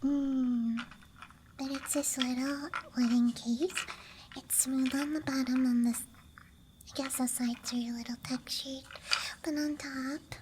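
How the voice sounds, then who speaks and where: A woman with a high, girlish voice speaks very slowly in a soft, breathy whisper. She rambles gently and hesitantly in an ASMR style, close to the mic, with faint ambient noise behind her. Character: friendly dice goblin hoarding her treasures. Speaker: ASMR performer. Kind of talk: whispered ASMR roleplay, rambling show-and-tell. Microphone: close ASMR microphone. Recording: close mic, audible ambient night sounds.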